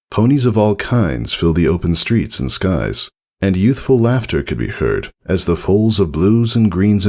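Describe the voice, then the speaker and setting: A man with a low, gravelly voice narrates a story. He reads in a measured, deliberate manner, with expressive rises and falls that keep the storybook prose moving. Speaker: narrator reading fan fiction.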